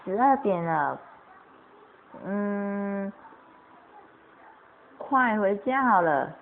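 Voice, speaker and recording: A woman performs an amateur radio play at an unhurried, slow pace. The sound is thin and phone-like, with a faint layer of noise around the voice. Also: mid-range voice, expressive, creaky.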